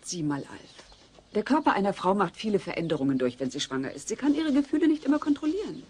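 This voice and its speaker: A woman playing an irritable but caring pregnant wife and mother, expressive and exasperated, in a German radio play. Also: medium pitch, quick pace, husky. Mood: patient.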